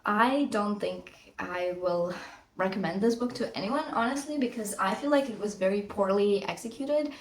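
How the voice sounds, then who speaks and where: A woman's voice: medium pitch, normal speed, roomy sound, slight background noise, poor audio. A woman whose first language is Russian, reviewing books in a casual, rambling vlog with lots of fillers. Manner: chatty, rambling, many fillers. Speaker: book vlogger.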